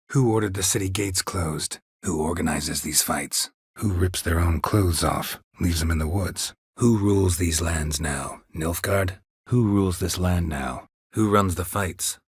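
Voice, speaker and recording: An actor playing a stoic, world-weary monster hunter in a video game, with a gravelly, husky voice and terse, dry, deadpan delivery. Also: male voice, low-pitched, no room sound, heavily acted. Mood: inquisitive, terse.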